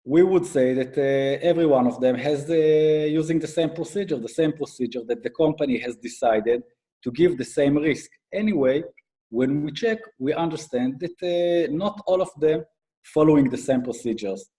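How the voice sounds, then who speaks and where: A middle-aged man with an Israeli accent giving an explanatory business talk as a guest expert, haltingly, with frequent fillers. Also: fairly high pitch, mellow. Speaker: guest speaker, IT advisory consultant and accountant.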